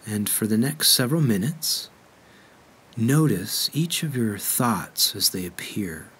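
A man leading a guided meditation in a gentle, unhurried way with measured pauses. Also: lowish voice, lively intonation, breathy edge.

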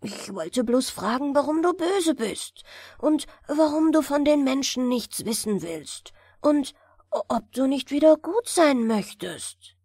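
Woman playing an earnest little shepherd boy, higher-pitched. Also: lively intonation, slow pace, a little mellow, dry recording, studio quality, heavily acted. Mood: earnest, pleading. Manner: simple, earnest. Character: frank, kindly young shepherd boy. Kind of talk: fairy tale storybook reading.

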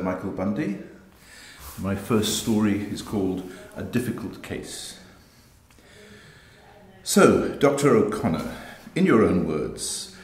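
A man reading his own story aloud as dramatic dialogue, in a live setting. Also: fairly low pitch. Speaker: author reading own stories. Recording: roomy, echoey live reading.

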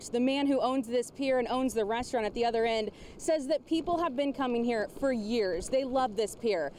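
High-pitched woman reporting outdoors. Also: darkish tone, slight background noise. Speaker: TV news reporter. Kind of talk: live broadcast news reporting. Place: outdoors on a beachfront pier.

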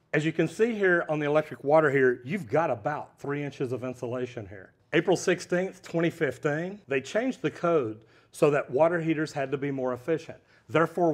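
A middle-aged man, a plumber, giving a hands-on instructional demonstration in a metal-walled storage unit, talking practically through a lapel mic. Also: a little high. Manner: plain-spoken, practical, addresses viewers as "guys".

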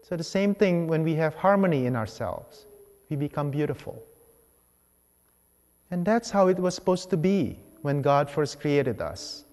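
A man gives a homily in a fairly high-set voice. He speaks in measured, deliberate phrases with pauses between them, as a priest at the lectern. The long reverb of a large church trails behind his words. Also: expressive, a little mellow. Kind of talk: homily, measured public preaching. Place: large church sanctuary, at the ambo.

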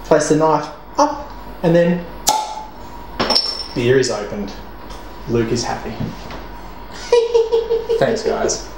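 Animated man in an echoey kitchen. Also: on the high side, extremely slow, long pauses. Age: young adult. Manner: jokey, animated, swears freely. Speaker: cooking show co-host. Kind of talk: casual cooking demo banter. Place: home kitchen, indoors. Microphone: camera mic at mid distance. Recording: echoey kitchen with background noise.

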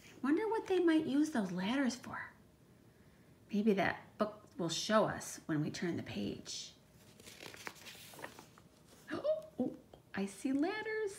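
Woman reading aloud to children, noisy recording. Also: a little high. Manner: child-directed, questioning, emphatic on read text. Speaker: teacher reading to young children. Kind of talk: read-aloud storytime with explanatory asides.

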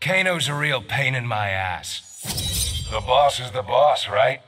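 An actor playing a cocky, sarcastic male mercenary in a fighting game, over loud game music. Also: medium pitch, lively intonation, takes it slowly. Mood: smug. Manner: cocky, wisecracking. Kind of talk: video game character dialogue.

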